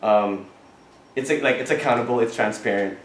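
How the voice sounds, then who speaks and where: A man's voice: mid-range voice, a little hiss or hum. Earnest young man in an echoey classroom. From Thailand. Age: young adult. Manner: earnest, halting, many restarts and fillers. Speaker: student board candidate. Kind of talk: answering questions at an election forum.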